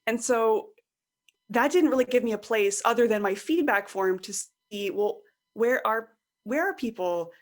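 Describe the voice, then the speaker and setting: A woman's voice: fairly high pitch, expressive, a little slow. A woman speaking as a podcast guest in a conversational interview, informal and thinking aloud, voicing imagined quotes as she explains. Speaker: podcast guest, online course creator and coach.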